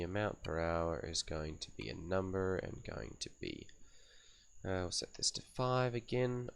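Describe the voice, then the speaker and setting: A man with a deep, low voice that is raspy and catching on a cough as he gets over a cold. He talks slowly and unhurriedly, reading menu options aloud and thinking as he goes, like a gaming host walking viewers through a setup. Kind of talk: casual game setup commentary.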